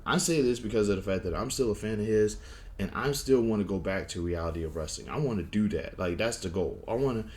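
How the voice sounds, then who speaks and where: A man's voice: lowish voice, fast, a little mellow. Rambling young man with a lisp. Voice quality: lisp and stutter. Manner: rambling, many fillers and restarts. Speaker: wrestling vlogger. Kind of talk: casual opinion monologue.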